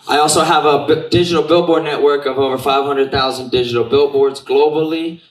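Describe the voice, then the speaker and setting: A man from Puerto Rico tells an event crowd about his marketing business in a casual, slangy, profane way. His mid-range voice is picked up from some distance, so it sounds set back. The room rings with a clear echo. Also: medium pitch. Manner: loose and profane, street slang. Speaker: marketing business owner giving a talk. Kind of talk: casual talk to an audience. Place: event venue.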